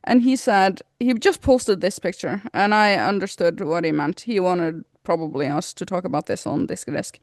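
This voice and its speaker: A woman's voice: fairly low pitch, normal speed, few pauses, dry recording. A young woman, co-hosting a podcast in casual, playful chat. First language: Norwegian. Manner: giggly, playful. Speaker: podcast co-host.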